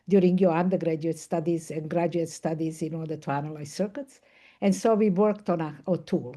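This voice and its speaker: An older woman from Serbia, speaking on a video call in a lowish, mellow voice. She explains at length and in a thoughtful way, as a professor on a panel. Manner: thoughtful, explanatory, with occasional laughs. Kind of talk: online panel discussion.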